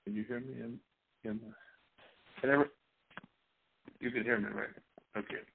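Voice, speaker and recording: Folksy missouri guy teaching bible, husky voice, sounds like a phone line. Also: mid-range voice, steady tone, normal speed. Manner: rambling, folksy, frequent restarts and fillers. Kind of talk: sermon-style Bible teaching.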